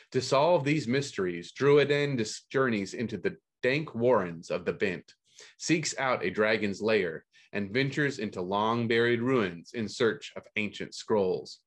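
Man hosting a book podcast. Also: lowish voice. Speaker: stand-in podcast host and book editor. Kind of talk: scripted podcast reading of book news and blurbs.

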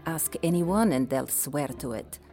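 A woman playing a gracious, hospitable Persian empress in an audio drama, with a warm, confiding way of talking. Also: deep voice, expressive, unhurried, soft background music.

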